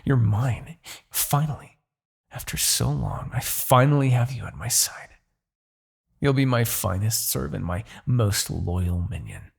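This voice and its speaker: A man playing a smug, menacing demon king, obsessed with his rival, in a theatrical, deliberate fantasy roleplay monologue with a husky, gravelly voice. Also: mid-range voice, animated, full character voice. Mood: triumphant. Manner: theatrical, gloating, deliberate, grandiose.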